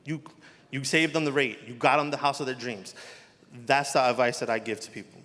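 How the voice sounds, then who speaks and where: A man's voice: mid-range voice, fairly quick, fairly bright tone. A man in his forties with a heavy New Jersey accent, a mortgage lender chatting casually on a conference panel, self-deprecating and repeating himself. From Elizabeth, New Jersey. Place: conference hall. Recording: echoey hall.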